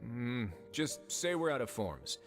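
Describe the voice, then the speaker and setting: A man playing a composed, authoritative duke who runs a prison in a video game, speaking in a measured, formal way. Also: mid-range voice, big pitch swings, music in the background. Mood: wary, dry.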